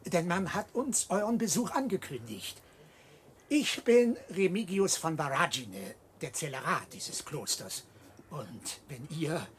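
An actor playing an officious, courteous monastery cellarer in a radio play, ceremonious and excitable. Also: male voice, high voice, a little hiss or hum.

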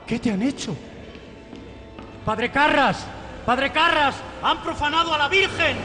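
An actor playing a horrified churchgoer in a radio drama. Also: male voice, very high voice, unhurried. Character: horrified churchgoer discovering a desecrated statue. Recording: echoing church reverb, music behind.

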